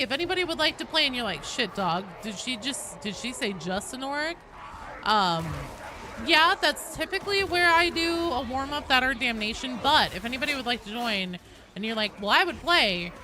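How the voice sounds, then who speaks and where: A woman's voice: fairly high pitch, pushed and bright. Sweary woman game streamer over background noise. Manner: rambling, sweary, self-deprecating. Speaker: game livestreamer. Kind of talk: casual gaming stream chat and commentary.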